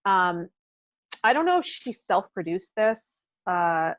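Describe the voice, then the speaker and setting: A woman's voice: on the low side, big pitch swings, takes it slowly, dry recording. Dry, slightly muffled woman podcast host. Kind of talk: casual reflective conversation.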